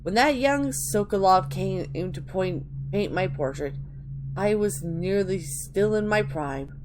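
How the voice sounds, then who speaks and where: A woman's voice: medium pitch, takes it slowly, natural voice. A woman voicing a sinister, rambling old woman who reminisces cloyingly in a dramatic reading. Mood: wistful, boastful. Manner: cloying, calls listener dearie. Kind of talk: dramatic reading of a game journal. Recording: clearly noisy home recording.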